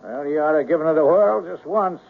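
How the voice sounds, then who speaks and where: An actor playing a folksy, grumbling small-town sergeant in a 1970s radio drama, gruff and sardonic. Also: male voice, a little high, quick pace. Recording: muffled, phone-like old radio recording.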